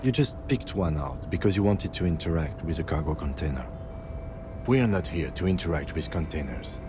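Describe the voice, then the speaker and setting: A sensible, restrained police lieutenant from a detective game. His voice is extremely low and his delivery dry and matter-of-fact. Music is clearly heard behind him. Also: animated, fairly quick. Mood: exasperated. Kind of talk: scripted game dialogue.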